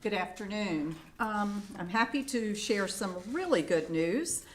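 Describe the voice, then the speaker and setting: A woman's voice: mid-range voice, animated, unhurried. A woman giving a work briefing as a program director on a conference call. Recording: conference audio with clear background noise.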